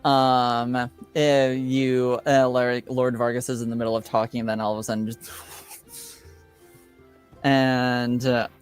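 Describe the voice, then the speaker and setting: A man narrating a tabletop roleplaying game as game master, halting and pausing between phrases. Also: mid-range voice, level tone, soft background music.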